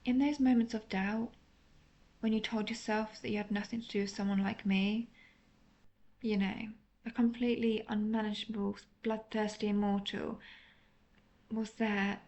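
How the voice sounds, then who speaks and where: A woman playing a lovesick, apologetic female vampire in an intimate audio roleplay, speaking in a hesitant, confessional, softly melancholic way. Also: medium pitch, level tone, moderate pace, lo-fi sound, close to an everyday voice. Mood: vulnerable, melancholic.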